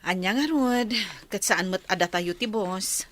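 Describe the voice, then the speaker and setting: A woman acting a brisk, caring nurse with an Ilocano accent in a radio drama. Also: medium pitch, close to an everyday voice. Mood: matter-of-fact. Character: brisk, caring nurse on a village medical mission.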